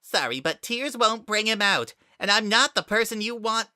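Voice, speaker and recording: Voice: medium pitch, lively intonation, normal speed, sharp tone, no room sound, studio quality, pitched above the performer's own voice. A performer voicing a bitter, mocking, anguished lost child spirit in a strained, breaking voice, as in a dramatic storybook reading. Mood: bitter taunting. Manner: taunting and wounded.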